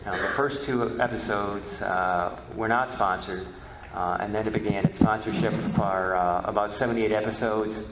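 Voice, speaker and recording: A man giving an informal history presentation, halting and often repeating words. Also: lowish voice, fairly even intonation. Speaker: presenter and media researcher. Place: reverberant presentation hall. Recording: echoey hall, faint background noise.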